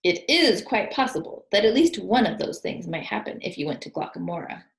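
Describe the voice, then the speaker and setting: A woman's voice: mid-range voice, expressive, a little fast, vocal fry. A woman voicing a bold, carefree male adventurer in a children's storybook, breezy, confident and boastful. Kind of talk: children's storybook read-aloud. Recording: roomy, echoing sound.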